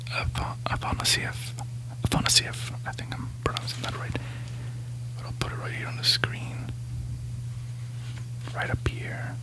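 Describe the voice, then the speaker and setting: A native Spanish speaker whispering a rambling ASMR book haul, sleepy and often correcting themselves. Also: rough recording. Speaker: ASMR host.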